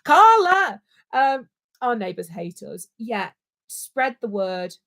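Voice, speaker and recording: A woman's voice: on the high side, big pitch swings, slow, fairly bright tone. A woman co-hosting a casual livestream chat, speaking with passion and repeating her points for emphasis. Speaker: co-host.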